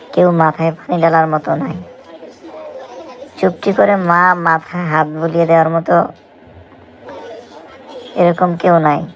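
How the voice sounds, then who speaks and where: A man acts out a weary migrant worker in a scripted cartoon. The voice is gravelly and earnest, lamenting life away from home. Faint chatter sits behind it. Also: a little high, dull audio.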